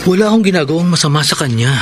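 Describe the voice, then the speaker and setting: An actor playing a desperate, pleading young Filipino boyfriend in an emotional radio drama. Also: male voice, on the high side, lively intonation, very fast, background noise, natural voice. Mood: troubled, resolved. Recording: radio drama with loud music underneath. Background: loud dramatic music.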